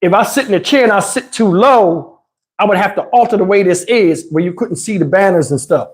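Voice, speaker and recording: A man's voice: sits high, brisk. A man hosting a livestream, chatting with viewers in a slangy, profane, rambling way. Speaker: livestream host. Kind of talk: casual livestream chat with viewers.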